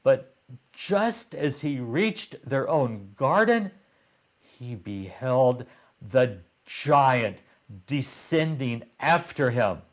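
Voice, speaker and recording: Male children's storyteller, mid-pitched, very slow, deliberate phrasing with pauses. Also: big pitch swings. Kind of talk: children's storybook read-aloud.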